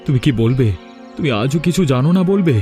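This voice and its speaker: A man's voice: medium pitch, animated, fast, warm tone, close to an everyday voice. A man voicing an indignant grown son defending his mother in a cartoon, stern. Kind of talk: cartoon dubbing. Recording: faint music behind.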